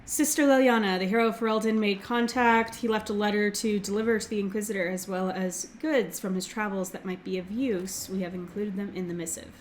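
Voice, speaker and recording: Slightly hoarse woman hosting a let's play. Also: medium pitch, steady tone, a little hiss or hum. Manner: reads game text aloud quickly, filler-heavy. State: recovering from throat infection, slightly hoarse. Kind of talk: casual gameplay commentary.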